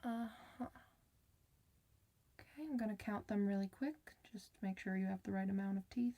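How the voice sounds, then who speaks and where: A woman playing a gentle, reassuring clinician in an ASMR medical roleplay, soft-spoken and soothing, sometimes slipping toward a whisper. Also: mid-range voice, steady tone, normal speed, close to an everyday voice.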